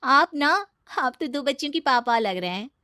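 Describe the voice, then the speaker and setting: Lady doing coy young model girl for a cartoon story, teasing, high lively voice. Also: moderate pace, no room sound, clean studio sound. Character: pretty young aspiring model, coy and shrewd.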